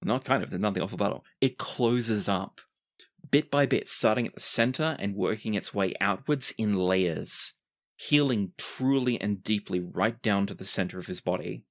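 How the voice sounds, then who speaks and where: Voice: sits low, moderate pace. A game master narrating a tabletop roleplaying game, telling the story in dramatic detail and dropping into casual asides.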